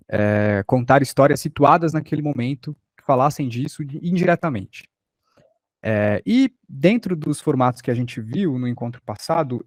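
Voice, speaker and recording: A Brazilian man gives an informal lesson in a mid-pitched voice. He feels his way through sentences haltingly, and the sound is dry and close. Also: darkish tone, dry recording. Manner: halting, thinking aloud. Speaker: workshop teacher.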